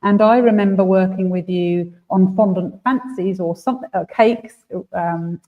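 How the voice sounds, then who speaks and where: A woman's voice: mid-range voice, unhurried. Woman host on a tinny line. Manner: chatty, warm, many fillers and restarts. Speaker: host and trainer. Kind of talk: casual conversational interview.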